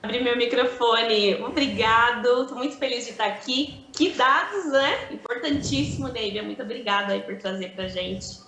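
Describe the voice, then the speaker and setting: A woman's voice: a little high, moderate pace, hardly any pauses, fairly bright tone, echoey room, slight background noise, low-quality recording. A cheerful woman panelist joining remotely over a video call.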